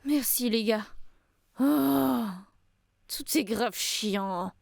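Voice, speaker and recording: A woman playing an ambitious young art student with brittle optimism in an animated comedy dub, theatrical and expressive. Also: fairly high pitch, drawn-out words, creaky, no room sound, studio quality, exaggerated voice. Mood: dejected, frustrated. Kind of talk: animated slice-of-life comedy fan dub.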